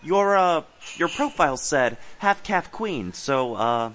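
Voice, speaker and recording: A man's voice: a little high, lively intonation, takes it slowly, fairly bright tone, a little hiss or hum. A man playing an earnest, chatty park ranger in his twenties on a date in a romantic comedy audio play, hesitant, rambling and blunt. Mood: friendly, nervous. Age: 20s.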